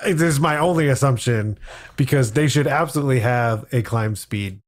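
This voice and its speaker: A man's voice: medium pitch, moderate pace. A man giving opinions in a casual discussion, with a dryly sarcastic way of talking. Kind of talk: casual tabletop game discussion.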